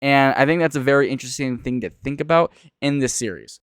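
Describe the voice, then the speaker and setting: A young man with a smooth, clear voice in a very dry recording. He talks like an online reviewer, casual and rambling, and leans on words for emphasis. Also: mid-range voice, fast, no room sound. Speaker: video reviewer and streamer. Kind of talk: casual reaction commentary.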